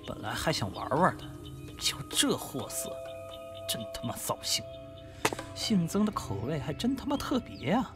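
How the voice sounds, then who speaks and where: 男声演绎嚣张粗鲁的黑帮老大，音调偏高. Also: male voice, a little high, wildly swooping pitch, normal speed. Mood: menacing. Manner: sneering, foul-mouthed, taunting. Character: crude, swaggering male gang boss, kidnapper with a grudge. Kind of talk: Chinese radio drama acting. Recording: music behind the voice.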